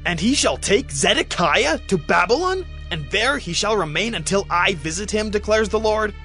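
A man's voice: sits high, animated, moderate pace, bright, forceful tone, exaggerated voice. An actor playing an indignant ancient king confronting a prophet in a declamatory scripture drama. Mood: indignant, accusing. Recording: music behind the voice.

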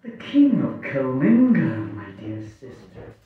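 A man playing a smug, falsely genial royal brother who loves gambling, in a theatrical, drawn-out and condescending stage reading. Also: mid-range voice, huge pitch swings, faint music behind. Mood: oily courtesy. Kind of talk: amateur staged dramatic reading. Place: wood-panelled hall, indoors. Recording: distant echoey hall recording, muffled.

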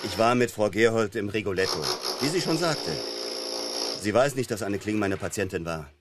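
An actor playing a self-assured male psychotherapist in a German radio crime drama, measured, condescending and dryly ironic. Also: fairly low pitch, lively intonation, very noisy, natural voice. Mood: smug, deliberate.

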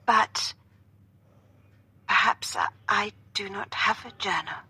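A woman acting a bright, playful young lady. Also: medium pitch, slow, slightly rough, no room sound, pitched above the performer's own voice, exaggerated voice. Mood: polite protest. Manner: polite, playfully stagey answers. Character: polite young lady, amused, playing along theatrically.

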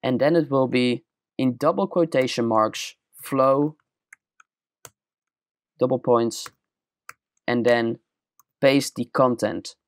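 Dutch man explaining software steps. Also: mid-range voice, slow pace, long pauses, no room sound, studio quality. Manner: plain step-by-step explaining. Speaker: tutorial presenter. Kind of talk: screen-recorded software tutorial.

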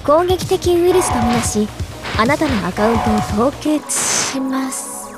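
A woman's voice: high voice, expressive, unhurried. A woman voicing a polite female AI system announcer for a game's virtual world, speaking in polished, formal announcement style. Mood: formal, impersonal. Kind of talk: scripted promotional announcement. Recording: loud music under the voice.

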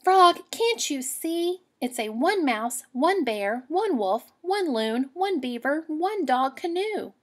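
A woman's voice: sits high, very animated, slow pace, hardly any pauses, pitched above the performer's own voice, heavily acted. A woman voicing a plucky, exasperated young girl in a storybook, with emphatic sing-song delivery.

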